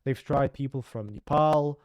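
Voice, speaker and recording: A young man from Russia with a Russian accent, casually commenting on news and translating clips with quick asides. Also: mid-range voice, a little mellow, dry recording.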